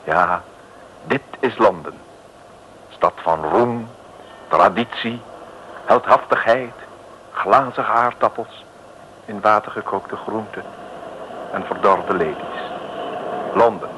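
An actor playing a wry, deadpan male narrator, reading mock-solemn scripted narration in a 1950s radio comedy. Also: fairly low pitch, slow, pauses often. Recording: old, slightly noisy radio recording.

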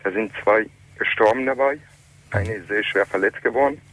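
A man in his late thirties from Iran, calling in to a radio talk show and telling his story in halting, German. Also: fairly low pitch, a bit bright. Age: 30s. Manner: halting, plain non-native German. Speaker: caller. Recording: muffled telephone line.

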